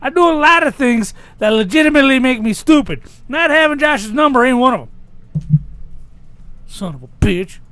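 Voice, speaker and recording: A man's voice: very high-pitched, lively intonation, normal speed, bright, forceful tone, dry recording. A man co-hosting a podcast from a home studio, talking close to the mic with dry, teasing banter and casual swearing. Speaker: podcast co-host.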